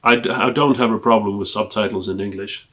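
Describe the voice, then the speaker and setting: A man from Sweden with a Swedish accent, a native Swedish speaker, casually showing off a collection in English in a hesitant, halting way with trailing asides. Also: fairly low pitch, fairly even intonation, a bit bright. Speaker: film collector presenting a collection. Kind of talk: casual unscripted show-and-tell.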